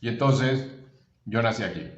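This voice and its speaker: A man's voice: mid-range voice, moderate pace. A man from Veracruz with a Mexican accent chatting casually with an old friend, rambling and often searching for his words. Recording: roomy echo, dull lo-fi sound.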